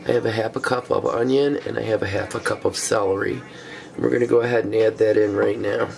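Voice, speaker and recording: Hoarse, stuffy man with a gravelly voice. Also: lowish voice, quick pace, noisy background. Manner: relaxed, step-by-step narration with frequent ums. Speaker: cooking show host. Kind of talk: casual cooking demonstration. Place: small home kitchen.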